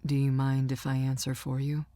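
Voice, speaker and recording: A calm, confident young man from an audiobook romance, performed by a woman. He speaks low and quietly, easygoing and understated, in a dry recording. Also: normal speed, no room sound. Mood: calm, assured.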